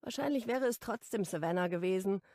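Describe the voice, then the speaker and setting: Rome, a teasing young man, read by a woman, creaky-edged. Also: medium pitch, expressive, brisk, darkish tone, no echo, clean studio sound, lightly acted. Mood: curious, direct. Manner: teasing, blunt, casual.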